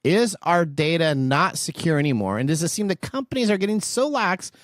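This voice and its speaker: A man's voice: a little high, big pitch swings, brisk, no room sound, studio quality. A man hosting a tech radio show, explaining and discussing the news in a conversational, explanatory way.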